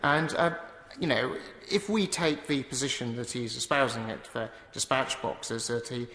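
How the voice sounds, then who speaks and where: A man's voice: medium pitch, normal speed, fairly bright tone. A man, a member of parliament, intervening in a debate, pressing a point with many fillers. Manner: fluent but padded with fillers, pressing a point. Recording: echoey chamber broadcast feed.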